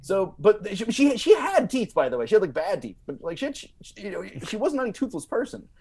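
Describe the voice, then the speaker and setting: A man's voice: high voice, wildly swooping pitch, brisk, darkish tone, breathy edge. A man telling comic anecdotes as a podcast guest, casually and emphatically, often repeating himself as he builds the story.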